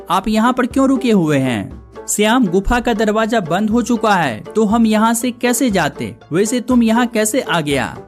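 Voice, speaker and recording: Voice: medium pitch, lightly acted. An actor voicing a greedy, lazy young villager hunting treasure in a cartoon story, full of exclamations. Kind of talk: cartoon moral story dialogue.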